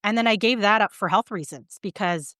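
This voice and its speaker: A woman's voice: mid-range voice, a little fast, fairly bright tone, no echo, clean studio sound. A woman in her thirties telling her story in a casual interview, with many fillers and restarts. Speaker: guest, vegan writer and publicist.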